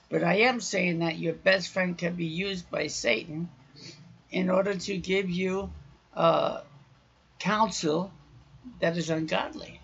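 Man, raspy and husky, loud raucous podcast preacher, muffled lo-fi recording. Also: high voice. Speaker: podcast host.

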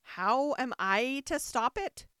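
Woman acting a bossy knitting sheep, storybook character, high-pitched, curt, scolding, barking commands. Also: expressive, takes it slowly, bright, forceful tone, clean tone, no room sound, clean studio sound, heavily acted. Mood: grumbling, curt. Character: bossy, snappish old knitting sheep, female shopkeeper.

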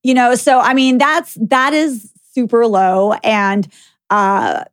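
A woman's voice: a little high, steady tone, takes it slowly. A young woman hosting a casual chat, blunt and filling her talk with "like", swearing freely. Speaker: podcast host.

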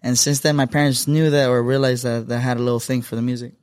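A man's voice: mid-range voice, level tone, quick pace, a little mellow, no echo, studio quality. A young man from Southern California telling stories as a podcast guest, casual and slangy, dropping Spanish phrases into his talk. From Huntington Park, California. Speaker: guest singer. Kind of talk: casual storytelling chat.

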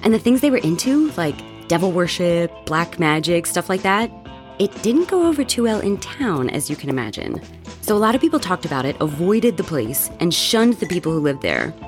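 A woman playing an excitable, bold young roommate who spins gossip dramatically in a horror audio drama. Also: fairly low pitch, normal speed, faint music behind. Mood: gossipy. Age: young adult. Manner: animated, teasing, dramatic storytelling.

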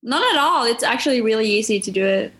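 A young woman answers questions as a student guest. She is friendly and a little hesitant, with the odd pause to find a word. Her voice sits a touch high for a woman. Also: lively intonation, a bit bright. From Norway. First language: Norwegian. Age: young adult. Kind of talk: casual interview answers.

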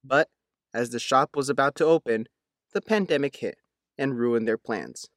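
A man's voice: mid-range voice, moderate pace, no room sound, clean studio sound. A man reading a written local news feature in a scripted narration style.

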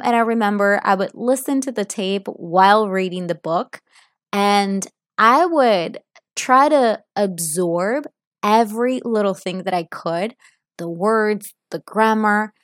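A woman's voice: mid-range voice, no room sound. Upbeat Italian woman podcast host with an American-sounding accent, talking straight to listeners, dead-dry sound. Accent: American-sounding English learned as a second language. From Italy (Milan). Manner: chatty and upbeat, addresses listeners directly. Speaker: podcast host, English teacher. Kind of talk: casual solo podcast talk.

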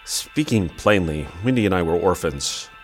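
An actor playing a skeptical, protective brother in an audio play, dry and wry or desperate and stammering. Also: male voice, sits low, animated, moderate pace, soft background music. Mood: wry, doubtful. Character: skeptical protective brother, growing frantic with fear.

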